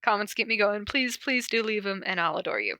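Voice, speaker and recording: A woman's voice: mid-range voice, lively intonation, fairly quick, bright, forceful tone, no echo. A woman podcast host with an informal, giggly manner, chatting casually and reading fan fiction aloud.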